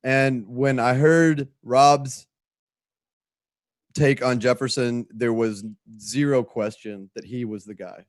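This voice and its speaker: A man's voice: medium pitch, steady tone, takes it slowly, dry recording, studio quality. A man from a show's production team, chatting casually. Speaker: show production team member. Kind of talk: casual chat.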